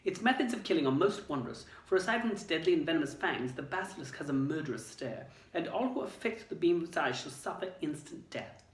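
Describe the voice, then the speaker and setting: A man voicing a clever teenage schoolgirl reading an old text in a measured, formal way. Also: on the high side, expressive, voice pushed up, natural voice. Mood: earnest recital. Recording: roomy, distant mic.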